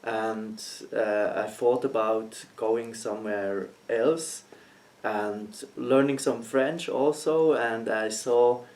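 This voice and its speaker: Slow, hesitant young man from Switzerland. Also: on the low side, steady tone, darkish tone. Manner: hesitant, searching for words, many ums. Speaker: guest interviewer, student. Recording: voice heard from a distance.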